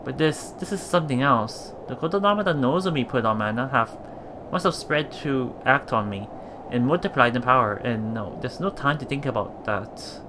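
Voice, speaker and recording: A man reading a visual novel aloud for a Let's Play, expressive and dramatic in first-person narration. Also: medium pitch, normal speed, noisy background. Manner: expressive, dramatic, with murmured reactions.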